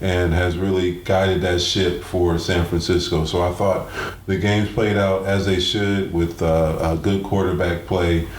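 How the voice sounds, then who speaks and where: A man hosting a sports talk show, giving game analysis in a halting, deliberate way. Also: sits low, little pitch movement. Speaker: sports radio host. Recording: roomy echo, clear background noise.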